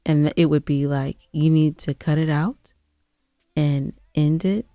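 A woman's voice: low voice, steady tone, unhurried, mellow, no room sound, clean studio sound. A woman co-hosting a casual advice show, earnest and opinionated, spelling out her moral points. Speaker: podcast co-host giving advice. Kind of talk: casual advice discussion with co-host.

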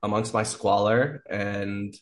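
A man's voice: lowish voice, fairly even intonation, slow. A man telling a wild story as a podcast guest, rambling, laughing often and swearing freely. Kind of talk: casual storytelling.

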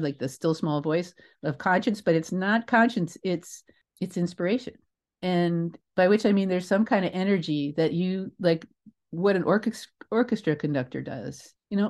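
A middle-aged woman with a slightly low voice speaks as an interview guest. She tells stories conversationally, with emphasis and expression. Her delivery is reflective, like a director explaining her craft. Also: a little mellow. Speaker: guest, theater director and creator.

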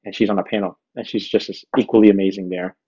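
Low-voiced man hosting, slightly muffled. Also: level tone, quick pace, dark tone, breathy edge. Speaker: podcast host. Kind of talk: casual conversational interview.

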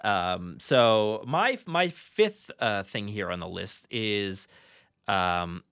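A man's voice: fairly low pitch, lively intonation, drawn-out words, fairly bright tone, smooth, dry recording, studio quality. A man hosting a podcast in a casual, enthusiastic, chatty and informal way. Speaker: podcast host.

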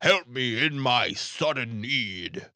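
Man playing a vigorous, deliberate old frost spirit. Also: mid-range voice, lively intonation, pushed and bright, dry recording. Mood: bargaining, firm.

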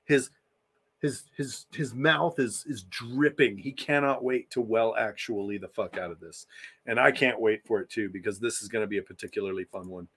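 A man's voice: on the low side, normal speed, fairly bright tone, husky. Casual guy cohosting a fan podcast, informal, repeats stuff for emphasis, bit sweary. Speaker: podcast co-host.

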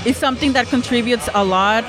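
A woman's voice: a little high, brisk, over music. A young woman from Mexico, answering interview questions about her film club in a hesitant way with many fillers. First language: Spanish. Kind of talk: casual interview.

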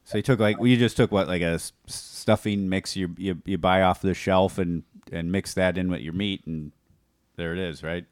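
A man hosting a podcast, chatting casually in a halting, rambling way with some joking. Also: low voice, steady tone, dry recording. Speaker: podcast host. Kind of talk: casual chat.

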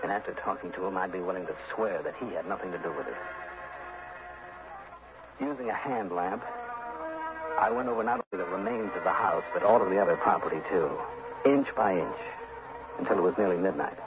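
Low-voiced radio detective. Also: male voice, expressive, a little fast, creaky, pauses often. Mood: grave, methodical. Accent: American. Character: level-headed male insurance investigator narrating his case. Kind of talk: early 1960s radio drama acting and narration. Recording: dull old radio broadcast, music behind.

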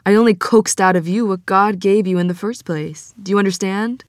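A woman's voice: medium pitch, a little fast, no room sound, studio quality, lightly acted. A woman playing an ailing, frank, devout small-town organist in a table read, with folksy, plainspoken phrasing. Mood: wry, frank. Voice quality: coughing.

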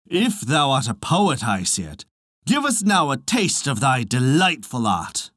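A hearty king from an old storybook legend voiced by a narrator. He speaks in stately, archaic phrases with amusement and a varied, mid-pitched delivery. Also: big pitch swings, measured pace, fairly bright tone, no room sound, studio quality. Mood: gracious.

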